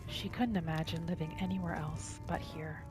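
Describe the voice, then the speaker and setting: A woman narrating a game roleplay in a gentle, hushed ASMR style, sometimes dropping to a whisper, unhurried. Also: deep voice, steady tone. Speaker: gameplay narrator. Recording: music behind the voice. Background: music.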